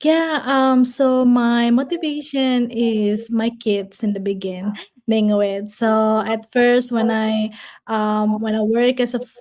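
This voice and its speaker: A woman's voice: on the high side, little pitch movement, slow pace, a little mellow. A woman in her thirties from Indonesia, a guest telling her story in a casual interview, with many fillers and restarts and frequent laughter.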